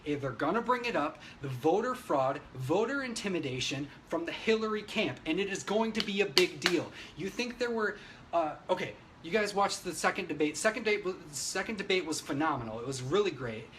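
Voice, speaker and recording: A man with a rather high voice holds forth on a livestream. He is brash, opinionated and emphatic, swearing often and talking straight to his viewers without a script. There is faint noise in the recording. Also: animated, a little fast, a bit bright. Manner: emphatic, agitated delivery. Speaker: livestreamer giving political commentary. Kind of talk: unscripted ranting livestream, reading viewer comments. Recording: noisy livestream audio.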